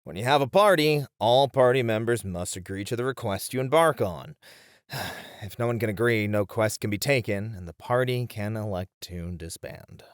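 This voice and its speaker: A man playing a sensible, nice warrior in a fantasy audio roleplay, earnest and measured with mild exasperation. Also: fairly low pitch, lively intonation, no echo, studio quality, close to an everyday voice. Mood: firm.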